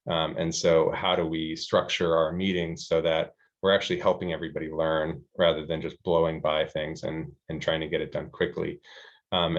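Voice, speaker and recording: A man answering a question on an admissions panel in a casual, steady way, filling pauses with many ums. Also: sits low, highs cut off. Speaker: EMBA student panelist.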